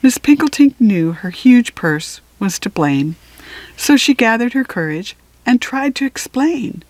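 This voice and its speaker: A woman reading a children's picture book aloud with expressive phrasing and deliberate pauses. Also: fairly high pitch, no echo, poor audio. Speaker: storybook reader.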